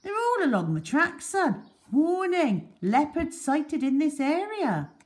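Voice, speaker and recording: Storybook bearded wildlife rescuer, played by a woman: firm, kindly, very animated intonation. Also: high voice, slow pace, pitched above the performer's own voice, exaggerated voice. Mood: stern, scolding. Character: gruff bearded man, stern then kindly wildlife rescuer.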